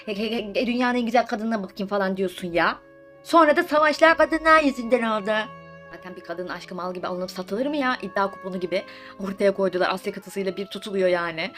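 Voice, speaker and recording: A woman tells a story like juicy gossip, with music playing behind her. Her voice sits at a middle pitch and she talks briskly, in casual slang. She is theatrical and sarcastic, throwing in mock-indignant asides and rhetorical questions. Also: a bit bright. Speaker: storyteller host of a comedic mythology series. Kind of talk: comedic casual storytelling, retelling myths in slang. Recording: voice over background music.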